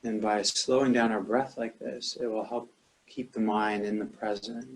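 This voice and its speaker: Male meditation teacher, lower-pitched voice, calm and measured, leaving long pauses between instructions. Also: creaky, low-quality recording.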